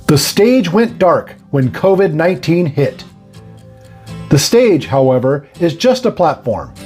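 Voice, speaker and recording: Male American feature reporter, animated melody, warm dark tone, scripted narration over light guitar. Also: on the high side, unhurried. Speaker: news reporter.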